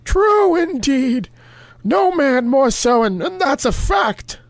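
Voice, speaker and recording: An actress voicing a fawning, gossipy crone in an audio drama, repeating herself in a drawn-out manner. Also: female voice, sits high, very animated, no room sound, heavily acted. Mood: sanctimonious. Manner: repetitive, drawn-out.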